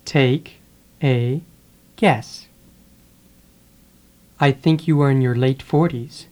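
This voice leads an English lesson at a very slow pace, spacing every word apart so learners can follow. Its pitch sits in the middle range, and its delivery is careful and deliberate. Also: a little mellow. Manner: deliberate, pausing after each word. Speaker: English teacher. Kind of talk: slow drill of phrases, repeating word by word.

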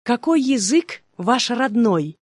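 A speaker reading short phrasebook sentences slowly and clearly for language learners. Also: mid-range voice, big pitch swings, no room sound, studio quality. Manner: slow, clearly spaced repetitions. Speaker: phrasebook reader.

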